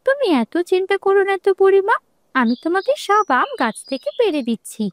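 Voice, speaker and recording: Woman as a brisk, high-voiced young village girl. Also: very animated, no echo. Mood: eager. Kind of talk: Bengali fairy-tale cartoon dialogue.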